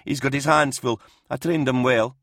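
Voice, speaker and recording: A man's voice: medium pitch, fairly even intonation, moderate pace, fairly bright tone, dry recording, studio quality, voice pushed up. A man voicing a frail but stubborn and dignified old regimental doctor in an audiobook, speaking earnestly and insistently. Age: older. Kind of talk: audiobook character voice in military science fiction.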